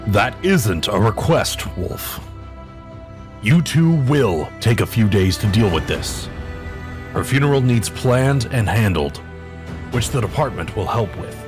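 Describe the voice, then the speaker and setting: A man acting an upset, commanding police officer in an audio drama, forceful and emphatic. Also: fairly low pitch, expressive, unhurried, music in the background. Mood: stern.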